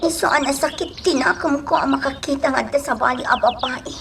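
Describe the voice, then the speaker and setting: A woman's voice: high-pitched, fairly quick, creaky, recorded from a distance, background noise. A woman acting a lovesick, brooding grown daughter in an Ilocano radio drama, plaintive and subdued. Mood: brooding, jealous.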